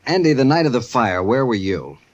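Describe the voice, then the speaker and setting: An actor playing a measured insurance investigator, questioning formally and deliberately in a radio suspense style. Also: male voice, mid-range voice, sing-song. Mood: polite, probing.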